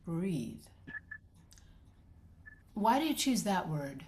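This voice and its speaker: An actress reading a script as a patient, supportive therapist, speaking in a measured, gentle, professional way. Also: female voice, fairly low pitch, very animated, slight background noise, exaggerated voice. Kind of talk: dramatic script read.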